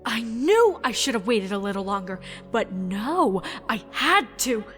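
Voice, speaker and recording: A woman plays a playful, teasing pony mare in a storybook. Her voice is affectionate and gently dramatic, fairly high for a woman, over a music bed. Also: big pitch swings, measured pace. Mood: self-reproach.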